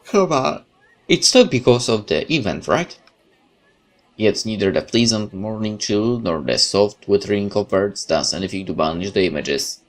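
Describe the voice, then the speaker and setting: A man reading horror game text aloud as a video host, theatrical and expressive, with informal reactions. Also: on the low side, normal speed. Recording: noticeable background noise.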